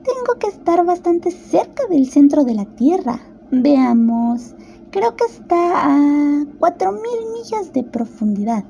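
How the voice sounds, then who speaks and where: A woman's voice: high-pitched, very animated, slow, gravelly, mellow, dull audio, slight background noise, voice pushed up, heavily acted. A woman voicing a curious, chatty, self-important little girl musing aloud in a storybook radio play. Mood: pondering.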